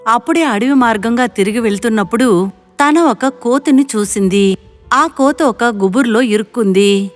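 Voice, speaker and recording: A woman's voice: a little high, normal speed. Woman narrating a story over music.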